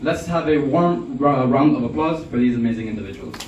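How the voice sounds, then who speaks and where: A man's voice: medium pitch, expressive, brisk, lo-fi sound. A teenage boy delivering a prepared campaign speech in a formal, earnest manner. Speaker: club presidential candidate. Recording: distant mic in echoey room, faint noise.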